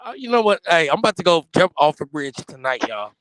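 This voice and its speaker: A man's voice: on the high side, fast talker, noisy background. Dramatic, slangy American man in casual banter. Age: 30s. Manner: animated, dramatic mock protest.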